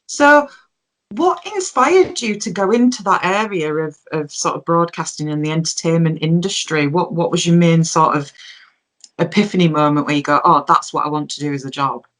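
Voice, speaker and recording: A woman's voice: deep voice, moderate pace, a bit bright. A woman interviewing a guest, asking rambling, hesitant questions.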